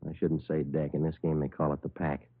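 An actor playing a terse, unflappable police detective in a 1950s radio crime drama, clipped and matter-of-fact. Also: male voice, extremely low pitch, little pitch movement, a little fast. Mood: casual, deliberate. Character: terse, unflappable male police detective, narrating his case. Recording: muffled old radio recording.

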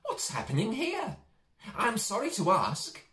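A man voicing a curious, kindly little storybook mouse in an earnest, animated manner. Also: high voice, unhurried, reverberant, pitched above the performer's own voice, exaggerated voice. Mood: puzzled, polite.

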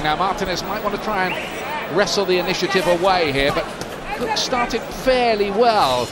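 A man giving live boxing commentary at ringside, mostly measured play-by-play that rises to excited shouting at the knockdowns. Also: high-pitched. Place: arena ringside. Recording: broadcast with loud crowd noise. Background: loud arena crowd.

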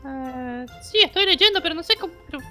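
A performer voicing a silly, playful child companion in a Minecraft roleplay, with a high childlike voice and repeated words. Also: lively intonation, brisk, sharp tone, full character voice. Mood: chatty. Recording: music behind voice.

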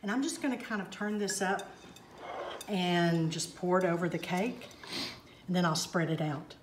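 A woman's voice: on the low side, moderate pace. An older woman shows how to make a cake in her home kitchen. She explains each step in a homey, instructive way. Her voice bounces off the kitchen walls, with faint clatter of bowls and pans behind her. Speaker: cooking segment host. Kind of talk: home cooking demonstration. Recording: echoey kitchen with faint noise. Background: clinking pots, bowls and utensils.

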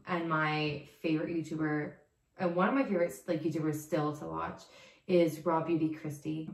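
A young woman from New Jersey chatting in a giggly, upbeat way in a bedroom, filmed by a camera across the room. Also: low voice. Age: young adult. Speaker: plant vlogger. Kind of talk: casual chat. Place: bedroom, seated on bed. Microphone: far camera. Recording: roomy echo.

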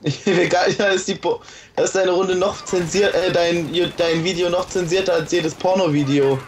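Young guy, high voice, jokes around while gaming with mates, slight hiss. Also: steady tone, normal speed. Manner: joking, teasing, laughs often. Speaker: gamer. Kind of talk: casual gaming chat with friends.

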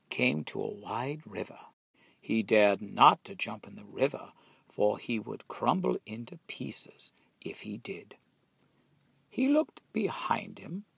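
A man reads a children's tale with dramatic expression. His voice is fairly low and gravelly, moving freely up and down. The recording is close and dry. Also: no echo. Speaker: storybook reader. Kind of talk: scripted storybook narration.

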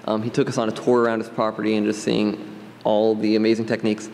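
A man's voice: fairly low pitch, completely flat delivery, fast talker, darkish tone. A teenage boy giving a testimony at a church lectern, talking in an earnest, hesitant way with many ums. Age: high school age, late teens. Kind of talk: unscripted trip report to a congregation. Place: large stone church sanctuary. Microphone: standing at a lectern microphone. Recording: long church reverb, faint room noise.

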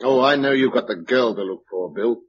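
An actor playing a hot-tempered, argumentative organizer in a British radio drama, with emphatic delivery. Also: male voice, medium pitch, brisk, slight background noise, lightly acted. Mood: urgent, persuasive. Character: hot-tempered forceful male organizer urging survivors to rebuild. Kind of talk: 1950s-60s British radio drama acting. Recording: dull old radio broadcast recording.

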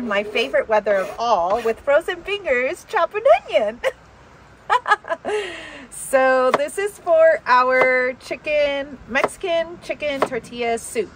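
A woman's voice: on the high side, background noise. Chatty woman cooking at camp. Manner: chatty, light laughs while explaining. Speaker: camp cook, co-host. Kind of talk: casual cooking vlog. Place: outdoor mountain campsite.

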